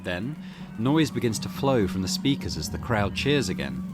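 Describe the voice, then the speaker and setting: Male let's play narrator, lowish voice, lively intonation, story reading, loud music behind. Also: normal speed. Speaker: let's play host reading a visual novel aloud. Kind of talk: expressive narration of game text with casual comments. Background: loud game music.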